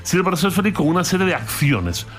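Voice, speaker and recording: A man from Andalusia with an Andalusian accent, explaining philosophy in a lively talk with playful, theatrical asides. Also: high-pitched, fairly quick, faint music behind. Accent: Andalusian Spanish. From Andalusia, Spain. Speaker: philosophy commentator.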